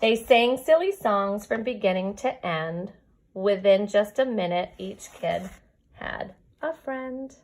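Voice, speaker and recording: A woman's voice: mid-range voice, expressive, slow pace, a little mellow, poor audio. A woman who teaches kindergarten reads a children's picture book aloud expressively, adding chatty asides to young listeners.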